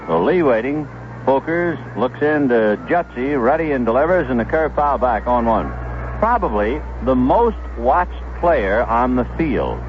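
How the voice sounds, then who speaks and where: A man's voice: mid-range voice, expressive, unhurried, muffled recording, a little hiss or hum. A man giving live play-by-play baseball commentary as a radio announcer on a 1970s broadcast.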